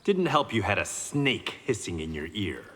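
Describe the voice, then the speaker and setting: Husky, low-voiced proud swordsman. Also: sing-song, normal speed, fairly bright tone, full character voice. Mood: dry, guarded. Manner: dry, guarded, terse. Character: proud, wry blind swordsman, former gangster. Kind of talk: video game fight banter. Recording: reverberant game hall sound.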